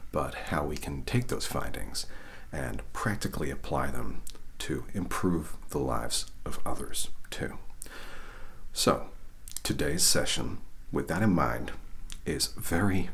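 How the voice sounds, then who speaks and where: A man softly guiding an ASMR reiki session, half-whispering slowly and checking in with "okay?". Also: a bass voice, creaky, slight background noise. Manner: whispery, slow and soothing, frequent "okay?" check-ins. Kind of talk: soft-spoken ASMR guided session.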